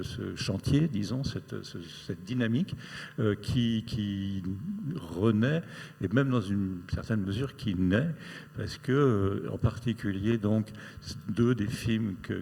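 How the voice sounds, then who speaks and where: A man's voice: fairly low pitch, vocal fry, a little mellow. A man moderating a festival panel, thoughtful and searching for his words as he introduces the topic and the guest. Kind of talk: panel discussion. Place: festival screening hall. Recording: long hall reverb.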